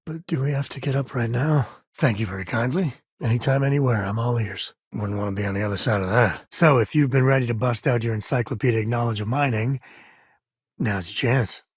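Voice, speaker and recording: A man's voice: mid-range voice, husky, no echo, studio quality, lightly acted. A man voicing an easygoing, folksy space ranger and father in a video game, with a wry, casual drawl.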